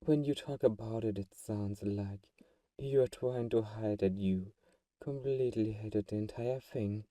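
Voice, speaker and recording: A man playing a doting but secretly manipulative vampire friend in an ASMR roleplay, soft-spoken and fussing, with a mellow, dark tone. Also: on the low side, unhurried, no room sound, distant mic, lightly acted. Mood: fussing, concerned.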